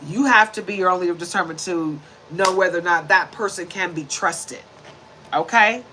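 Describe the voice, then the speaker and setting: 40s lady doing a tarot reading, bright sharp voice, kinda preachy and chatty, faint hum in the background. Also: fairly low pitch, moderate pace. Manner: chatty and preachy, many tag questions; shuffles and lays out cards while talking. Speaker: tarot reader. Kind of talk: casual tarot reading to camera.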